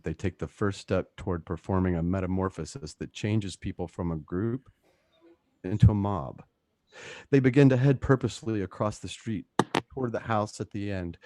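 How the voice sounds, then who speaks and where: Deep-ish guy narrating a radio drama script, dry close mic, suspenseful delivery. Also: warm tone, dry recording.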